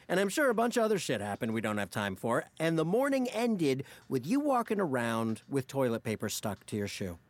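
Comedic audio drama: cynical, melodramatic office best friend, foul-mouthed and needling, very animated intonation. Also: male voice, on the high side. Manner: sardonic, melodramatic, profane.

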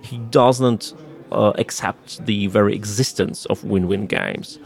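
A man from Russia with a Russian accent, a native Russian speaker, explaining politics in a halting, deliberate and emphatic way as an interview guest. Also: on the low side, creaky, faint music behind.

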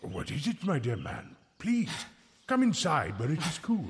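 An actor playing a kindly medieval English friar in an audio drama, speaking gently and earnestly in formal old-fashioned phrases. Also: male voice, on the high side, wildly swooping pitch, unhurried, a little hiss or hum, full character voice. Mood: gentle, concerned. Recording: echoey hall reverb with music.

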